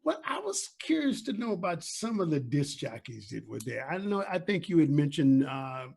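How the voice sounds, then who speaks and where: A man's voice: fairly high pitch, big pitch swings, normal speed, creaky, a little mellow, husky. A man hosting a casual interview, chatting informally and agreeably with short acknowledgements. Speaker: interview host.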